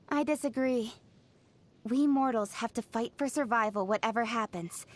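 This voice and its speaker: A woman voicing a confident, brisk, principled young female city official in a video game, articulate and businesslike. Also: a little high, close to an everyday voice. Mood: skeptical, challenging. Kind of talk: scripted video game character voice lines.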